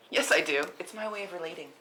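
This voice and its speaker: A woman's voice: fairly low pitch, brisk, lightly acted. A woman acting a blunt, sweary but loyal and self-deprecating friend in a conversational script read, recorded in a noisy room. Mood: wry, confiding.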